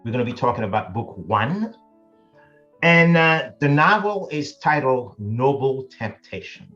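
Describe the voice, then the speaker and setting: A man hosting an interview, making measured, formal introductions. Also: medium pitch, animated, sharp tone, faint music behind. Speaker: interview host. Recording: dull lo-fi audio.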